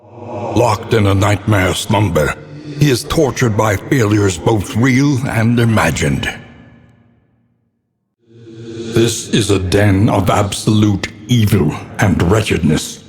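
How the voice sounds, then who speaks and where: A man playing a grave, ominous narrator of a gothic horror game, delivering theatrical scripted narration in a solemn, portentous manner with deliberate diction. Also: on the low side, animated, a little mellow, faint music behind. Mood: ominous, foreboding. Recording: long cavernous reverb.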